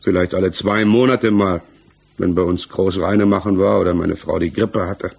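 Guarded, husky-voiced male suspect. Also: low voice, steady tone, moderate pace, darkish tone, full character voice. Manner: guarded, matter-of-fact answers. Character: guarded male village businessman under interrogation, evasive, subdued. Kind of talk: 1960s German radio play acting, interrogation dialogue.

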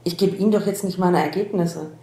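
A woman's voice: lowish voice, fairly even intonation, fairly quick, dark tone, close to an everyday voice. A woman playing an assertive, wry test participant in a naturalistic radio drama. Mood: indignant, sarcastic. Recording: roomy sound, faint noise.

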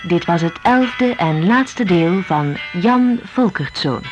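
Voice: mid-range voice, lively intonation, slow, over music. An announcer formally reading scripted closing credits for a radio drama. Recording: dull old radio recording.

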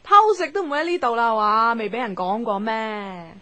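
A fairly high female voice as a gossipy office colleague. She is blunt and bossy, swooping up and down with chatty exasperation in radio drama style. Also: big pitch swings, a little fast. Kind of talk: Cantonese radio drama acting.

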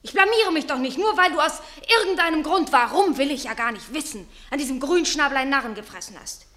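High-voiced, haughty leading lady. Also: animated, fast, sharp tone. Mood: indignant. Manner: haughty, sharply articulated. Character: proud, headstrong leading actress with a temper. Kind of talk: theatrical radio drama acting.

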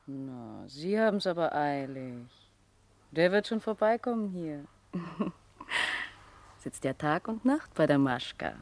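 A woman's voice: low voice, lively intonation, takes it slowly, long pauses, darkish tone, full character voice. An actress playing a saucy, streetwise working girl in a 1960s German radio drama, drawling and mocking. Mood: teasing, amused.

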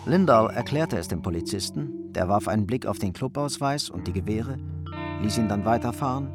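An actor as the dry, detached narrator of a German radio crime drama, reading scripted narration. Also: male voice, on the low side, expressive, moderate pace. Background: plucked guitar music.

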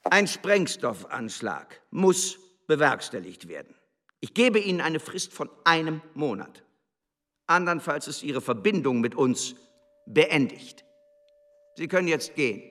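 Slow, swooping, condescending embassy secretary. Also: male voice, fairly high pitch, sing-song, a bit bright. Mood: contemptuous anger. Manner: theatrical, condescending, drawn-out emphasis. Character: cynical, smug, imperious embassy secretary in Edwardian London. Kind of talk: radio drama acting.

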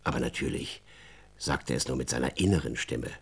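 A man's voice: rumbling low, brisk, creaky, breathy edge. A man narrating a scripted children's story, addressing his young listeners directly as a storyteller.

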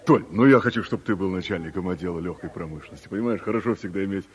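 An actor playing a smooth, back-slapping middle-aged careerist boss in a 1970s radio play, hearty and persuasive. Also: male voice, sits low, very fast. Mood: scheming. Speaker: newly appointed deputy director.

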